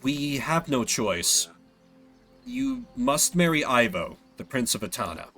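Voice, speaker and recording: Guy as a tired reluctant king dad, low and subdued, game roleplay. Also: huge pitch swings, takes it slowly, bright, forceful tone, soft background music, heavily acted. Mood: apologetic. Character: weary, reluctant king and father.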